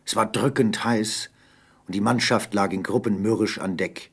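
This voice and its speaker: A man with a husky voice narrating an adventure radio play as scripted storytelling. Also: lowish voice, steady tone, normal speed.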